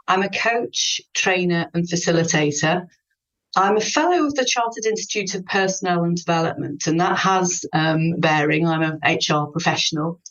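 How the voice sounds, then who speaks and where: A woman from North Wales, a coach and HR professional, introducing herself earnestly and deliberately. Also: on the low side, a bit bright. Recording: dull lo-fi sound.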